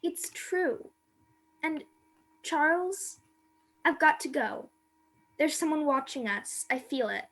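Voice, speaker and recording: Lady playing a wistful naive girl in love, really high voice, earnest radio drama delivery. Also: expressive, slow pace, long pauses, fairly bright tone, exaggerated voice, rough recording. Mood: resolute, sad. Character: wistful, naive young woman, romantic, secretly longing to escape. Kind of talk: radio play acting.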